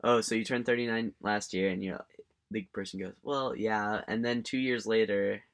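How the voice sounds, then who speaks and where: A man's voice: lowish voice, steady tone, normal speed. A young man co-hosting a casual family podcast, talking in a hesitant, rambling way with many restarts. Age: young adult. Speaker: podcast co-host.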